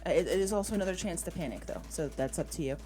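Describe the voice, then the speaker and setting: A woman's voice: fairly low pitch, animated, rapid-fire. A woman acting as game master in a tabletop roleplaying game, casually talking through rules and thinking aloud. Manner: thinks aloud while checking rules and rolls. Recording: voice over background music. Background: music.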